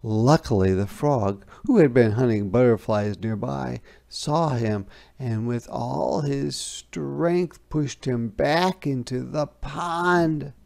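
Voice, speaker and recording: Someone reading a picture book aloud, really slow and dramatic, bit of creaky fry in the voice. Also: medium pitch, a little mellow. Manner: deliberate, dramatic storytelling. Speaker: storybook reader. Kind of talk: expressive children's read-aloud narration.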